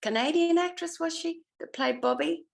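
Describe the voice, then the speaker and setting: A woman's voice: very high-pitched, normal speed, vocal fry. An older woman with an Australian accent reminiscing in a casual interview, hesitant as she searches her memory. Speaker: interviewed former actor.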